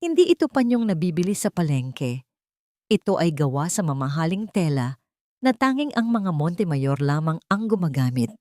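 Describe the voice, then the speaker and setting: A woman storyteller with a fairly low voice reads a drama in a measured, theatrical way. The recording is dry and close, with little room sound. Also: very animated, mellow, dry recording. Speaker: narrator of an audio story. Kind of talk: dramatic scripted storytelling narration.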